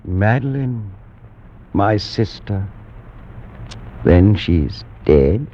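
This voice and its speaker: An actor playing an ailing, nervous aristocrat sinking into madness, in theatrical 1940s radio horror style with halting, volatile delivery. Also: male voice, lowish voice, expressive, slight background noise. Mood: stunned. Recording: dull 1940s radio recording.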